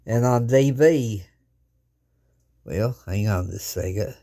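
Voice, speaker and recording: Folksy man in an unhurried, rambling demo of his radio, filmed on a phone at his home desk. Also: fairly low pitch, warm tone. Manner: rambling, folksy, plain-spoken with many restarts. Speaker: ham radio operator. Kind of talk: casual show-and-tell of radio gear.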